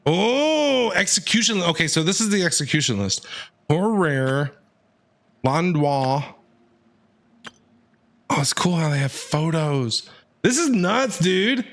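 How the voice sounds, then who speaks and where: A man's voice: on the high side, lively intonation, slow, pauses often, fairly bright tone. A man giving casual, informal live commentary as a game streamer, reacting and thinking aloud.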